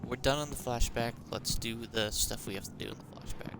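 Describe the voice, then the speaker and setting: A man's voice: medium pitch, a little fast, gravelly, very noisy. A man giving casual live playthrough commentary as a game streamer, wisecracking and talking back to the game. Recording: loud game music behind voice.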